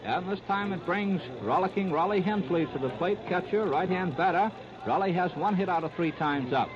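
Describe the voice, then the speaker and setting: 1930s radio baseball announcer, higher-pitched man. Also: normal speed, a crowd in the background. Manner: clipped present-tense narration in short phrases. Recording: scratchy, noisy, muffled 1930s radio recording.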